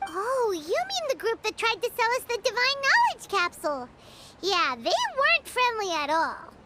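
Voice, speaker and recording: A woman voicing a small, excitable, childlike fairy companion with a squeaky voice in a video game. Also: moderate pace, heavy background noise. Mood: curious. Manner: exclaiming, speaks of self in third person.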